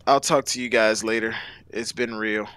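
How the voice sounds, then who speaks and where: A man's voice: lowish voice, steady tone, moderate pace, fairly bright tone, clear, resonant tone, dry recording. A man joining a livestream voice chat as a guest, talking casually as a fan in a group discussion.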